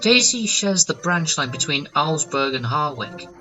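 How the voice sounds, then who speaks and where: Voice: medium pitch, big pitch swings, normal speed, muffled recording. A narrator reading a scripted children's story in a storybook style. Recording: loud music behind the narration.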